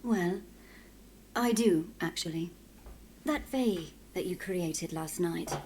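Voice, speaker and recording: An actress playing a forthright, compassionate companion in a video game, with a direct, questioning manner. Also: female voice, lowish voice, slow pace, noisy background. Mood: curious, direct.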